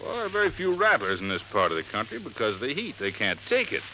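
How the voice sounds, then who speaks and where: An actor playing a folksy, earnest boat-landing owner in 1950s radio drama, telling things in a plain, halting, emphatic way. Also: male voice, mid-range voice, wildly swooping pitch. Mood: intent, emphatic. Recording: dull, noisy vintage radio recording.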